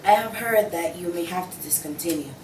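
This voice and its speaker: A woman's voice: fairly low pitch, fairly quick, a little mellow, lightly acted. A woman reading the part of a solemn, earnest advocate of seriousness in a stage play, in a lecturing manner. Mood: earnest, admonishing. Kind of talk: student table read of a stage play. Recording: distant echoey room, faint noise.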